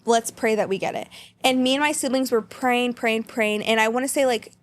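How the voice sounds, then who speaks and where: A woman's voice: fairly high pitch, steady tone, a little fast. A young woman from Texas, a podcast guest, telling candid stories about growing up in a casual, chatty way. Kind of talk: casual storytelling.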